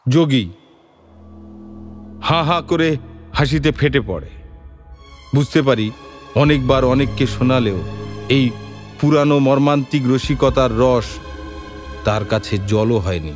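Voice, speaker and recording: A man narrating a scripted audio story in a measured, literary and expressive storytelling manner. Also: medium pitch, soft background music.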